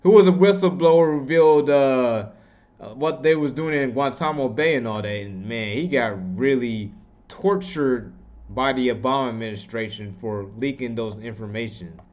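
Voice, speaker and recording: A man's voice: medium pitch, moderate pace, darkish tone. A man giving casual, opinionated political commentary in a rambling, slangy, informal way. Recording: dull, muffled lo-fi audio.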